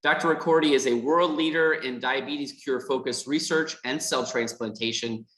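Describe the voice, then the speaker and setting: A man's voice: medium pitch, moderate pace, a bit bright, roomy sound, low-quality recording. A man hosting a foundation event on a video call, reading prepared remarks with fillers and repeated words. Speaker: foundation host and interviewer. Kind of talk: scripted introduction.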